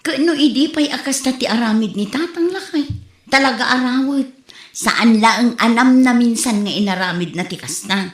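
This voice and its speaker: A woman's voice: on the high side, moderate pace, close to an everyday voice. Woman playing a fed-up daughter-in-law, echoey. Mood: exasperated. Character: fed-up daughter-in-law complaining about a stingy old father-in-law. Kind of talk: Ilocano comedy radio-style drama. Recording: roomy echo on the voice.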